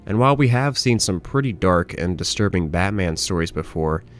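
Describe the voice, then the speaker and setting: A man's voice: low-pitched, lively intonation, normal speed, soft background music. A man hosting a horror review, reading a scripted video essay as narration. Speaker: host, horror series reviewer.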